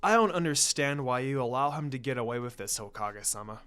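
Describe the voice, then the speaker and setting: A man's voice: mid-range voice, animated, fast, fairly bright tone, clear, resonant tone, faint music behind, voice pushed down, close to an everyday voice. A man voicing an irate, exasperated adult female ninja instructor in a story reading.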